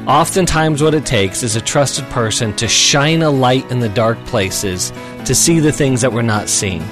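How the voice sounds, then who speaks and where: A man's voice: medium pitch, expressive, moderate pace, over music. Direct male radio host. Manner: direct, challenging. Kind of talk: call-in advice show.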